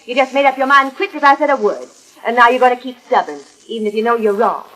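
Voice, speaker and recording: A woman's voice: fairly high pitch, fast, fairly bright tone, low-quality recording. An American woman playing an earnest, determined young rancher's daughter in a 1940s radio western. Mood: insistent. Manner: earnest, pleading, folksy cowboy speech. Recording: old transcription disc radio recording.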